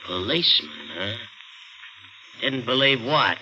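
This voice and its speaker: Slangy killer cab driver, threatening, really sharp forceful voice, hissy old 50s radio recording. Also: male voice, medium pitch, lively intonation, takes it slowly, muffled recording, heavily acted. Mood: sly, probing. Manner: slangy tough-guy talk, softly threatening. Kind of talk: 1950s radio thriller acting.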